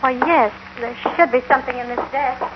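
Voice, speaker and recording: Distressed young ranch niece female actor, earnest high voice, vintage 1950s radio drama with background hiss. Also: steady tone, a little fast, close to an everyday voice. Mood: earnest, troubled. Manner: earnest, worried. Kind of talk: 1950s Western radio acting. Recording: dull, noisy old radio recording.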